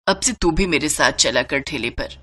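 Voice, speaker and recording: Woman playing an old Indian mother, lowish voice, weary, earnest, plaintive, storybook drama, swooping intonation. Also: lively intonation, moderate pace, sharp tone, breathy edge, exaggerated voice. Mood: tired, coaxing. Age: older. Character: weary, pleading elderly Indian mother-in-law.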